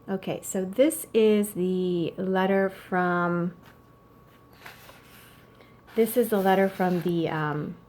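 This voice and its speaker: A woman reading a children's novel aloud as a teacher, in a measured, explanatory way with brief asides to her listeners. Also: lowish voice, a little hiss or hum.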